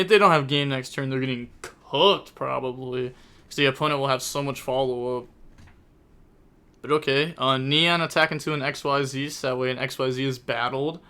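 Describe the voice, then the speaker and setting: A man's voice: medium pitch, fairly bright tone, smooth. A young man casually commentating a card game tournament on his live stream, chatty and rambling as he thinks aloud.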